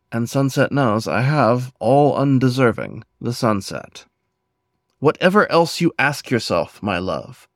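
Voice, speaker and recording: A man reciting his own poem in an earnest, measured, dramatic way, with deliberate pauses between phrases. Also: mid-range voice, dry recording, studio quality. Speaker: poet and podcast host reading own poem.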